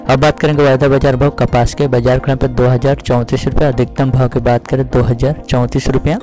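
A man's voice: medium pitch, level tone, fairly quick, warm tone. A man hosting a market update, reading out prices as scripted narration in a formulaic, repetitive recital. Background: music.

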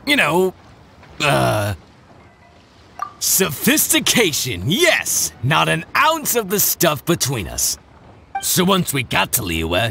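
A burly, folksy game sailor. His pitch swings wildly from phrase to phrase, with a creaky edge. He sounds hearty and easygoing. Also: a bit bright. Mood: groping for words. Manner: jovial, folksy, searching for words. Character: burly, hearty, easygoing ship's first mate. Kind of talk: video game cutscene dialogue.

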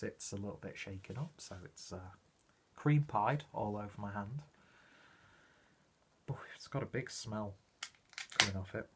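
A man's voice: lowish voice, a bit bright, slight background noise. A British man giving a casual, rambling video review, chatty and self-deprecating as he goes. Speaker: beer reviewer presenting own video channel.

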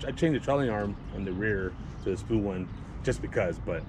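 A man in his forties chatting casually outdoors about his car, relaxed, with halting restarts. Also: on the low side. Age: 40s. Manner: laid-back, trailing restarts. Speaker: car owner. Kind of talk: casual chat. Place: city alley outdoors. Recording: outdoor street noise.

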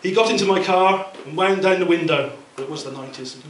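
High-pitched man giving a speech, echoey room. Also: a little fast. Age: middle-aged. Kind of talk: prepared humorous wedding speech, read from notes to an audience. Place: indoor function room at a wedding reception. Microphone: handheld mic through a PA speaker, filmed from across the room. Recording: distant, echoey, very noisy room recording. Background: loud room noise and guests.

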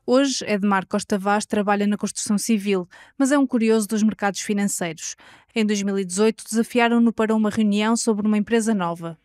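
A woman with a European Portuguese accent reporting a podcast investigation in measured, scripted narration. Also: mid-range voice, a little mellow, no room sound, studio quality.

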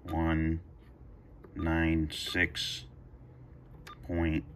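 Man, deep voice, casual tutorial, unscripted, hesitant thinking aloud, noticeable background noise. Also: monotone, drawn-out words, fairly bright tone. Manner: hesitant, thinking aloud while pressing buttons. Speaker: video host demonstrating a radio scanner. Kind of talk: casual tutorial talk, improvised. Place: carpeted room indoors at home.

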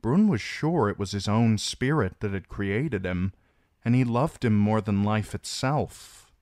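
Deep, unhurried male audiobook reader. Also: no echo, clean studio sound. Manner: measured, steady storytelling. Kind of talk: novel chapter reading.

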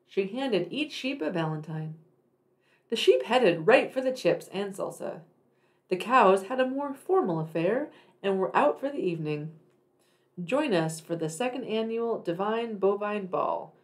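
A woman reads a storybook aloud to young children. Her intonation moves widely up and down at a medium pitch, and she leans on key words with dramatic, emphatic phrasing. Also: animated, a little slow. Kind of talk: expressive picture-book reading.

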